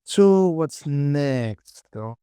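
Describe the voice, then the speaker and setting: A man giving a casual software tutorial, talking through his work in short, informal instructions as he goes. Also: a little high, lively intonation, very slow, clean tone, no echo, studio quality. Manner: informal, muttered short instructions to self and viewer. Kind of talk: casual screen-recorded software tutorial, talking while working.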